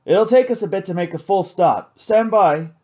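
A narrator as a brisk airship crewman. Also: mid-range voice, lively intonation, darkish tone, pitched above the performer's own voice, natural voice. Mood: businesslike. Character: brisk male airship crewman on ship's phone.

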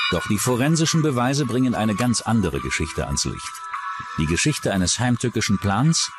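Male narrator for crime documentary: lowish, animated delivery over music.

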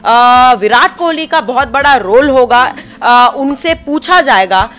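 A woman's voice: sits high, lively intonation, slow pace, fairly bright tone. A young woman hosting a studio sports discussion, posing questions to the panel and leading the talk into a handheld mic. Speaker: host. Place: indoor TV studio set.

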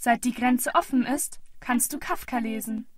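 A woman's voice: on the high side, normal speed, a little hiss or hum, natural voice. A woman playing a matter-of-fact speaker in an experimental radio play. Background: music.

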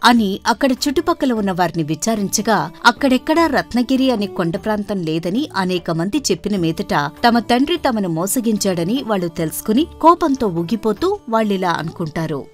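A woman reading scripted narration as a storyteller for an animated tale. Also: mid-range voice, normal speed. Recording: voice over background music. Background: background music.

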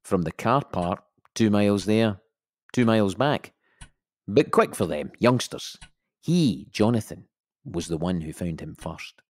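A low, dry-sounding Scottish detective inspector in an audio drama. He recounts things in a measured, wry, matter-of-fact way, recorded close and dry. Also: animated, dry recording. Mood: matter-of-fact, reflective. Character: dry, methodical male Scottish police inspector. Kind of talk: audio drama monologue, giving testimony.

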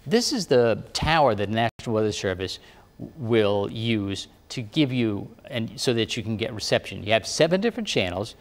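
A man working as a TV meteorologist, calmly explaining a device one step at a time. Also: lowish voice, moderate pace, in a large hall. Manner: plain, patient instructive talk. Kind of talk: step-by-step demonstration. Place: TV studio weather set.

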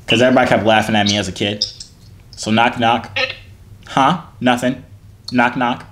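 A man's voice: medium pitch, steady tone, moderate pace, fairly bright tone. Teasing young man on a phone video chat.

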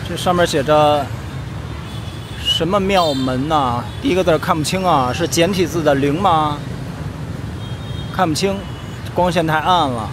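A man chats his way down a busy street, his voice pitched a little high as he makes wry remarks to a handheld camera. Traffic and motorbikes roar clearly behind him. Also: measured pace, pauses often. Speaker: travel vlogger. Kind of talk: casual walking commentary. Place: busy city street outdoors. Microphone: handheld camera carried while walking. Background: traffic and motorbike noise.

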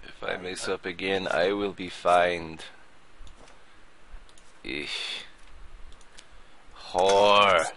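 A man's voice: low-pitched, fairly even intonation, extremely slow, long pauses, background noise, exaggerated voice. A man putting on a grumpy crude border inspector. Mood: gruff grumbling. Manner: broken English, curt and crude. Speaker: gamer playing a border inspector. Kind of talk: let's play commentary in character.

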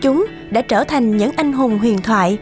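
Higher-voiced woman narrating a cartoon. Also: a little slow, a little mellow. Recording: light music behind the voice.

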